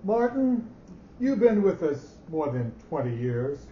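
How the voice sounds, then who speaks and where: An actor plays a fussy old male boss, grave and formal, who halts and hedges as he goes. The voice is high and mellow. It comes from a staged reading recorded live in an echoey room. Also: sing-song, takes it slowly. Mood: grave, awkward. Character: elderly male company boss, hesitant and fussy. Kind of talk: staged dramatic reading of a short story. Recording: roomy, muffled live recording with hiss.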